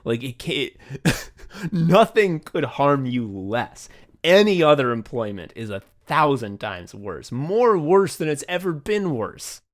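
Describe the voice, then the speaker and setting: A man's voice: fairly high pitch, very animated, takes it slowly. A man hosting a podcast in casual chat, swearing freely and joking at his own expense. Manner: profane, joking and self-deprecating. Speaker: podcast host and comedy writer.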